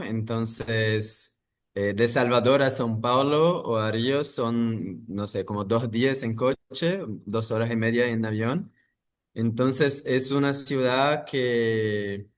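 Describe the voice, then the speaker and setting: A man, talking in a thoughtful, halting way with many pauses and restarts as an interview guest. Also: medium pitch. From Brazil. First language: Portuguese. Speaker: architect guest. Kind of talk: interview conversation.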